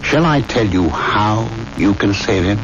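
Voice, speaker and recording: An actor playing an insinuating military lieutenant who questions like an interrogator, in 1970s radio drama style. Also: male voice, on the low side, animated, unhurried, loud music behind the voice. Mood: pressing, menacing. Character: menacing, smoothly insinuating military lieutenant, a grown man. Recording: muffled, noisy old radio broadcast.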